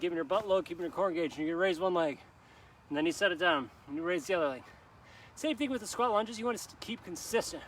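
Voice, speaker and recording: Man, fitness instructor, high voice, upbeat encouraging coaching, exercising while talking, outdoor park, faint traffic noise. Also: a bit bright. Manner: upbeat, encouraging, jokes about his own slip-ups; talks while doing the exercises himself. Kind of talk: live workout coaching.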